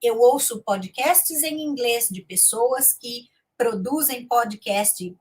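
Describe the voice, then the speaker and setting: A woman's voice: on the high side, slightly rough, rough recording. A woman who teaches English, giving an online lesson in a conversational way that mixes in English phrases and often checks in with her listeners. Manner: switches between Portuguese and English.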